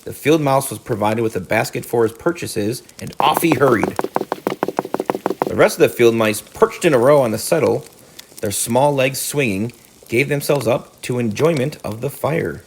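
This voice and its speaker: A man's voice: mid-range voice, moderate pace, slight background noise, poor audio. A man narrating a children's storybook as a teacher reading aloud.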